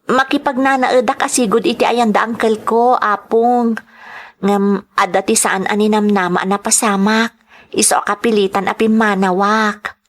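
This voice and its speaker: A woman's voice: medium pitch, fairly even intonation, moderate pace, lightly acted. Celing, a woman acting an earnest young Ilocano helper. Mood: humble, troubled. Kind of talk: radio drama acting.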